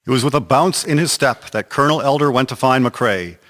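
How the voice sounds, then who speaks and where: A man's voice: medium pitch, brisk, a bit bright. A man narrating a historical radio drama from a script in a solemn, formal storytelling manner. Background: music.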